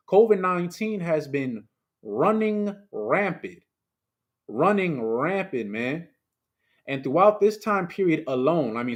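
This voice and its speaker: Emphatic man preaching a streamed sermon. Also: medium pitch. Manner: conversational and emphatic, with rhetorical questions; loose and chatty, with many fillers and asides. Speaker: preacher leading a livestreamed service.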